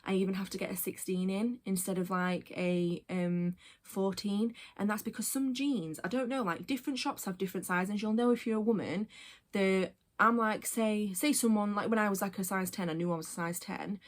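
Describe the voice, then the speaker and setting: A woman's voice: medium pitch, steady tone, normal speed. A woman, chatting casually in a personal vlog, rambling with many fillers and tangents. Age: 20s. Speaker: vlogger.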